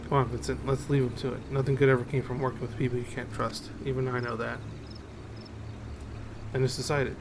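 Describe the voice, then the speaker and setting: A man's voice: medium pitch, flat delivery, brisk, long pauses, background noise, lightly acted. A man voicing proud, distrustful hunters from a fantasy game, curt and dismissive, as he reads on stream. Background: game music.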